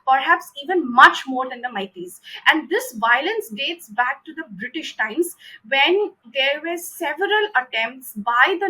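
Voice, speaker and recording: A woman's voice: sits high, sharp tone, rough recording. A woman giving a prepared political commentary in a formal, emphatic manner, repeating phrases for stress. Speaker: commentator presenting own research.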